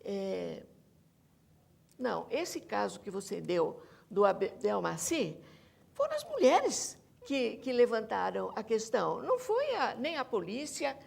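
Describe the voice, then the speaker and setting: Female panel guest, Brazilian accent. High, gravelly voice, insistent academic delivery. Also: sing-song, slow pace. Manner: emphatic, insistent, repeats points. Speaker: academic guest, researcher and author. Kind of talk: panel interview discussion.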